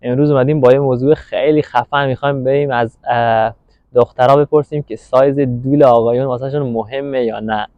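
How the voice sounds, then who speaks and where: Male street interviewer, mid-pitched, rattles off lines at high speed. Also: fairly even intonation, dry recording, muffled recording. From Iran. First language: Persian. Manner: fast, hypey, repeats words when asking. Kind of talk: street interview and channel intro.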